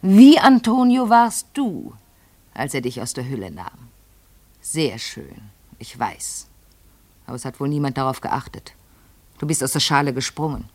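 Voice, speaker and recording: A woman's voice: rumbling low, big pitch swings, measured pace, mellow, exaggerated voice. An actress playing a vain, obsessive lady fearful of ageing, speaking theatrically and intensely in a literary radio play. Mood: pleading, brooding. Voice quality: husky, breathy, creaky. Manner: theatrical, intense, addressing a servant.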